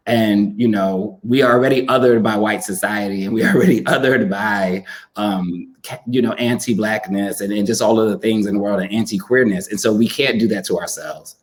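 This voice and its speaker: A man speaking earnestly as a panel guest, thoughtful and pausing, building his points in short phrases. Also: fairly low pitch, rough recording. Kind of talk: earnest conversational panel discussion.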